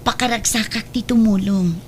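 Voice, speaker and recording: Dutiful female assistant played by a woman, higher voice, deferential, Northern Philippine accent, audio drama over background noise. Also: fairly even intonation, moderate pace, natural voice. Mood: polite, attentive. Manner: deferential, helpful. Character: polite, dutiful young female assistant to a wealthy madam.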